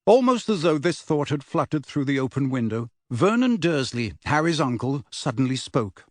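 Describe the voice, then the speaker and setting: A man's voice: medium pitch, big pitch swings, normal speed, dry recording, clean studio sound. A man reading a novel aloud as scripted audiobook narration.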